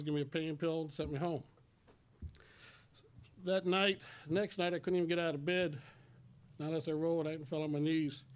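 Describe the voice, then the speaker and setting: A man in his sixties giving a personal testimony to a congregation in a plain, folksy, halting way, speaking into a stand microphone. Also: a little high. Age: 60s. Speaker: church member giving testimony. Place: church sanctuary at a lectern.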